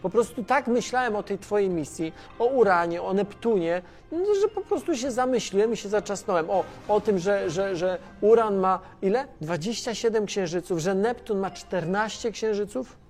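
An actor playing an excitable, scatterbrained, inquisitive man who rambles and repeats himself in a children's educational audio drama. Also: high-pitched, normal speed, soft background music. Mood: flustered, excited. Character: Tomasz, scatterbrained, excitable, inquisitive male friend of space probe. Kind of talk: children's educational radio play.

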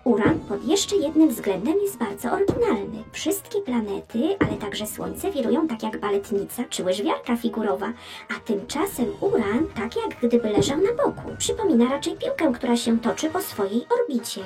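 A talkative space probe in a children's radio play. She is high-pitched and very animated, explaining with curiosity and a wry, teasing edge. Faint music plays behind her. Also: normal speed. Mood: eager, explaining. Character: talking female space probe, chatty, sardonic, curious explorer.

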